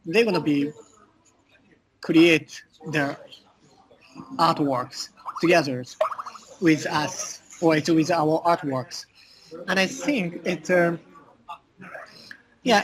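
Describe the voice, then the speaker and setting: A man with a Japanese accent answering as an interview guest, speaking halting non-native English full of filler phrases. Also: a little high, low-quality recording. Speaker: interview guest, art collective communications director.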